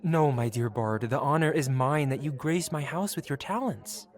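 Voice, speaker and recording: A suave, wealthy dragon patron played by a man in a romantic fantasy audio roleplay. He speaks in courtly, flowery phrases at a medium male pitch, addressing one listener intimately, with a possessive streak beneath the polish. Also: normal speed, close to an everyday voice. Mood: gracious, courtly.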